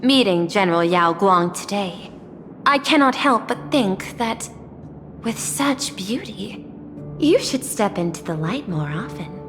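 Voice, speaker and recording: A woman playing a refined, calculating machine leader in a video game, speaking formally and analytically with calm courtesy. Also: medium pitch, close to an everyday voice. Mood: composed, courteous. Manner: measured, formal, analytical wording. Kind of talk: scripted game voice acting. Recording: long reverb, background music.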